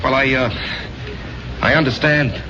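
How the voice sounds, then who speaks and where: An actor playing a troubled, restless ex-soldier in a halting way, in 1970s radio drama style. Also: male voice, medium pitch, fairly even intonation, natural voice. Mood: evasive, resigned. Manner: hesitant, halting, evasive. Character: troubled male ex-soldier, resigned yet restless. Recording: dull, noisy old radio broadcast.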